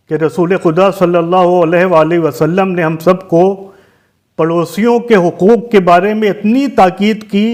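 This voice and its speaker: A man with a Lucknow Urdu accent giving religious guidance as a cleric, speaking deliberately and repeating key phrases for emphasis. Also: high voice, a little mellow. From Lucknow, Uttar Pradesh, India. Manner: deliberate, emphatic repetition, explains with synonyms.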